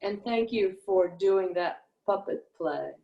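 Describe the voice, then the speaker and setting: A woman's voice: medium pitch, lively intonation, slow pace. A woman chatting casually on a video call. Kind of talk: casual chat. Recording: roomy, dull video call audio.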